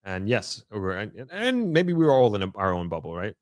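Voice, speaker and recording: A tired man hosting a casual livestream, thinking aloud in an informal, halting way as he answers comments. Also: on the low side, big pitch swings, dry recording, clean studio sound. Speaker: livestream host. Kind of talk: casual livestream chat, reading out viewer comments.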